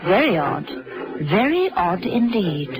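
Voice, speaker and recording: An actress playing a poised, polite and probing investigator in a vintage radio drama. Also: female voice, medium pitch, sing-song, takes it slowly, over loud music, poor audio. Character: poised, inquisitive female amateur investigator.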